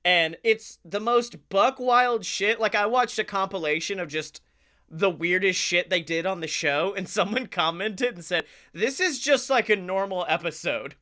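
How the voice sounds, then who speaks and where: High-voiced, bright, chatty male podcaster. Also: normal speed. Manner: chatty, enthusiastic, sweary. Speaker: podcast co-host. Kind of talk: casual comedy podcast chat.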